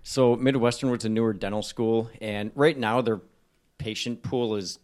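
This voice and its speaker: Low-voiced dentist explaining his training. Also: steady tone, normal speed, darkish tone. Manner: earnest, explaining at length. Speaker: dentist guest. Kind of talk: casual podcast interview.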